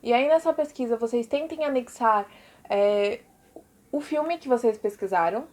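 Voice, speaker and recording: A woman's voice: on the high side, normal speed, a little mellow. A Brazilian woman teacher giving an informal recorded lesson to pupils, chatty and explanatory, often adding little check-in tags.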